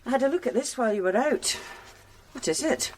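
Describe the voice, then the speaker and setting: Actress for a skeptical woman officer, animated and questioning, slightly off-mic. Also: on the high side, brisk, slight background noise, lightly acted. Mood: curious.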